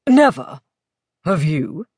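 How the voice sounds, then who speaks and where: An urbane gentleman lord, played by a woman narrator, droll and dryly amused. The voice is lowered and unhurried, with lively turns of pitch, in the manner of a romantic audiobook. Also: breathy edge, no room sound, studio quality, heavily acted. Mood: amused musing.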